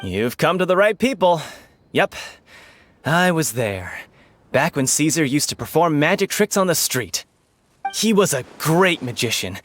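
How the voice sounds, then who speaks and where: A man's voice: a little high, big pitch swings, measured pace, fairly bright tone, full character voice. An actor playing a boastful, blustery townsman in a game who insists he knew it all along. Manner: blustery, self-assured.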